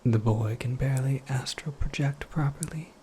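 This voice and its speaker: A performer voicing an eccentric, absent-minded witch in a breathy, creaky ASMR roleplay, rambling with wry, cryptic politeness. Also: mid-range voice, normal speed, mellow, a little hiss or hum, close to an everyday voice. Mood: musing, cryptic. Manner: rambling, whimsical, politely formal, self-correcting. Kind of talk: soft-spoken ASMR roleplay monologue.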